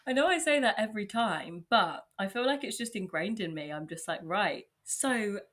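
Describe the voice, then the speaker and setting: A young woman with an English accent hosting her own podcast, chatting warmly and informally, a little husky from a sore throat. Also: mid-range voice, lively intonation, moderate pace. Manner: upbeat, chatty, lots of likes and you knows. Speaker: podcast host. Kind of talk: casual solo podcast chat.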